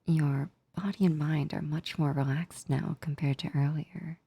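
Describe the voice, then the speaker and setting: A soothing, affectionate demoness acted by a woman for an ASMR roleplay. Her soft, breathy voice sits low with a gravelly fry. She reassures tenderly and intimately, very close and dry. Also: fairly even intonation, no echo, heavily acted. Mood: reassuring, affectionate. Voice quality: soft, breathy, often near whispering. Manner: slow, soothing, tender, unhurried. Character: gentle, comforting female sleep paralysis demon, affectionate and reassuring.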